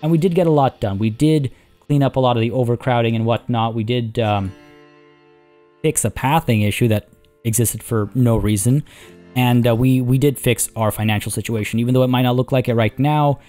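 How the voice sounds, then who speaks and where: Hoarse man chatting over gameplay. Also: medium pitch, brisk, mellow, faint music behind. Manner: rambling, chatty, many restarts and fillers. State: hoarse, losing his voice. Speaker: let's play host. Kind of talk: casual gameplay commentary.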